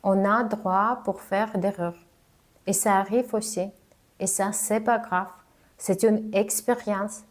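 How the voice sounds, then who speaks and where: Slow, careful woman speaking a second language. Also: medium pitch, steady tone. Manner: careful, halting delivery of a non-native speaker. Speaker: communication coach. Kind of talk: spoken advice video.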